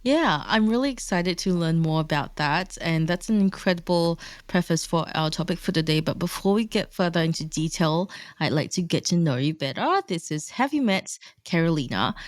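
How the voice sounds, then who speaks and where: An upbeat woman with a slightly low voice hosts an interview podcast, moving between scripted intros and friendly questions. Her voice is dry and close, with no room echo. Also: lively intonation, normal speed, few pauses. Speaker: podcast host.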